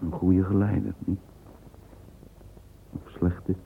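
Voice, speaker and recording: Deep, slow, gravelly musing man. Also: flat delivery, exaggerated voice. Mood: pensive, murmuring. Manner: halting, murmured thinking aloud. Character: musing middle-aged man, sensual, curious, alone with his thoughts. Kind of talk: radio drama interior monologue. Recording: muffled phone-like old recording, faint hiss.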